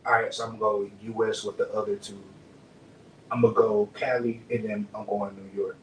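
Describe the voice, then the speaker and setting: A man's voice: fairly low pitch, level tone, fairly quick. Laid-back young man from Dallas. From Dallas, Texas. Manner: laid-back, slangy, rambling with filler phrases. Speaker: rapper, podcast guest. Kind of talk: relaxed interview chat. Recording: echoey room, mic far off, faint hum.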